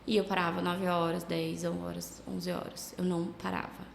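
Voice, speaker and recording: A Brazilian woman with a slight cold, a travel agency owner chatting casually as a podcast guest, relaxed and full of fillers. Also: fairly low pitch, moderate pace, creaky, slight background noise. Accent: Brazilian Portuguese. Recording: echoey room with long reverb.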